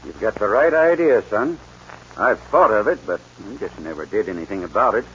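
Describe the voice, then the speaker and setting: Proud stubborn western rancher dad, folksy american drawl, voice all over the place, muffled old radio recording. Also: male voice, on the low side, lively intonation, fairly quick, a bit bright, slight background noise. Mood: earnest. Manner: folksy drawl, stammers when moved. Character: proud aging Western rancher father, stubborn but fond. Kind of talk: 1940s radio western acting.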